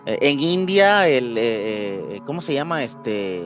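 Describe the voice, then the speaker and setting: A man with a Mexican accent chatting casually as a podcast co-host, halting and searching for words in slangy speech. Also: medium pitch, big pitch swings, fairly bright tone. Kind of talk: casual podcast chat about ancient mysteries. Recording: music behind the voice.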